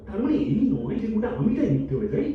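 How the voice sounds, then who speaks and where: Defensive, pleading boyfriend played by a man, quick and animated, thin phone-like sound in an echoey hall. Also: high-pitched, far from the mic, a little hiss or hum. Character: exasperated, defensive boyfriend in a long relationship. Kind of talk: melodramatic stage audio drama. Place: multipurpose hall stage.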